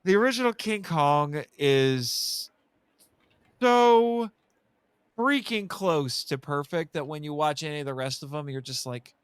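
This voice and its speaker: A man's voice: a little high, a little slow, clean tone, no room sound. A man in a casual film discussion, speaking enthusiastically and repeating phrases for emphasis like an expert guest. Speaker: podcast guest and monster movie expert.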